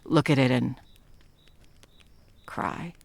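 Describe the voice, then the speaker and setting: A woman playing a menacing, scornful monster hunter in an ASMR roleplay, speaking in a creaky, deliberate, dramatic way. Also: extremely low pitch, a little mellow, exaggerated voice. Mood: furious, scornful.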